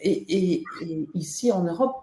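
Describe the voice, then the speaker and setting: A woman's voice: fairly low pitch, measured pace, warm tone, echoey room. A woman from Belgium, the guest author in a webinar interview, talking in a hesitant way with many fillers and restarts.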